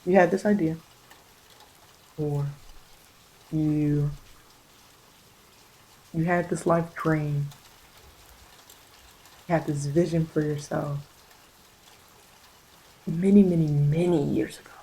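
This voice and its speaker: A woman giving a soft ASMR tarot reading, speaking in a halting, repetitive, reassuring way as she offers spiritual guidance. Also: low voice, steady tone, rough recording. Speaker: tarot reader.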